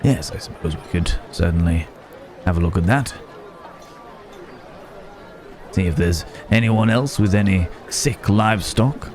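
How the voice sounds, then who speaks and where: A man's voice: low-pitched, moderate pace, a little mellow, slight background noise, close to an everyday voice. A man playing a courteous, troubled werewolf in an ASMR roleplay, with old-fashioned formal phrasing and a hesitant, musing delivery. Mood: musing, cautious. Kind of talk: ASMR roleplay acting, one-sided dialogue. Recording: busy outdoor market ambience behind voice. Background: market crowd ambience.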